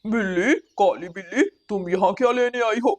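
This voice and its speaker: A woman's voice: medium pitch, moderate pace, natural voice. Woman voicing an anxious, scolding male crow. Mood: suspicious, scolding. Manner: urgent, pleading, scolding. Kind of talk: children's animated story dialogue.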